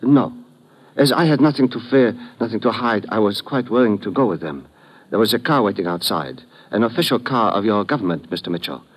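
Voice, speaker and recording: An actor playing a dignified, wary doctor, speaking formally and precisely in old radio drama style. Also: male voice, fairly low pitch, expressive, brisk, rough recording. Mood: guarded, formal. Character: dignified, wary male doctor, kidnap victim. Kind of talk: 1950s radio drama acting.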